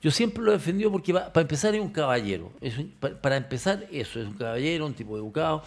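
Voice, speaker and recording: A man's voice: fairly high pitch, very animated, fast, gravelly. A former footballer speaks as a panelist on a sports show. He is emphatic and tends to repeat words when he makes his case. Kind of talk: sports talk commentary.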